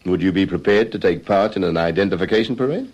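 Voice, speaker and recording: Deep-voiced male detective, vintage radio crime drama. Formal, methodical, probing interrogation style. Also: a little mellow, breathy edge, lo-fi sound. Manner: formal, measured questioning. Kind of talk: old-fashioned radio drama acting.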